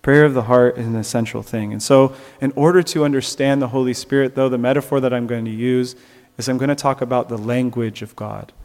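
A man's voice: medium pitch, fairly even intonation, a little fast, a little mellow, big-room sound. A man preaching a sermon in an earnest way, rising at times to emphatic peaks. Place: church.

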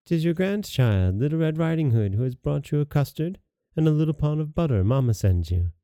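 A man's voice: fairly high pitch, sing-song, a little fast, warm tone, no echo, clean studio sound, voice pushed up. A man voicing an innocent, trusting little country girl in a storybook, artless and full of wonder.

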